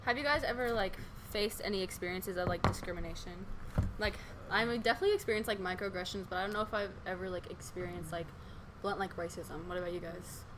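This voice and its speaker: A woman's voice: on the low side, fast talker. A teenage girl hosting a casual student chat, laughing often and using many fillers. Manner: giggly, chatty, lots of fillers. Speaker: student host. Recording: noisy room.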